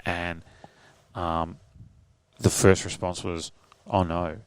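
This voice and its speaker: Deep-voiced man, earnest rambling storyteller. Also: flat delivery, slow pace, clear, resonant tone, no room sound. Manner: earnest, rambling storyteller with many fillers and restarts. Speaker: singer-songwriter guest. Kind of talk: casual interview chat.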